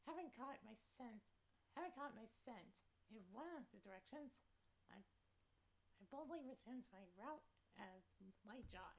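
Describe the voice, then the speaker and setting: Woman reading as a anxious lost cat, gravelly fry. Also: fairly high pitch, animated, a little slow. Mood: uneasy. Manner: dramatic first-person narration, fretful. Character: lost young male cat, anxious and self-mocking.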